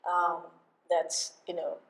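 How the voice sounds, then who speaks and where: A middle-aged woman from Bangladesh, giving a lecture as a guest architect and talking in a halting way, with many fillers and restarts. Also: on the low side, completely flat delivery, fairly bright tone. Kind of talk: lecture to a live audience. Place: lecture hall. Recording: echoey lecture hall.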